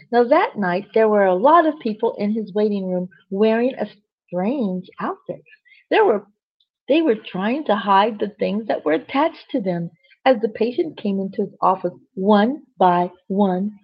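A woman storyteller with a husky voice reads a children's bedtime story expressively, with chatty asides to young listeners. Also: mid-range voice. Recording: dull, lo-fi sound.

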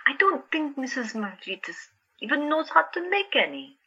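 An actress playing a gentle, questioning young woman patient in an audio drama, her voice husky and breathy. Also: high-pitched, big pitch swings, normal speed, dull audio, exaggerated voice. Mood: puzzled, curious.

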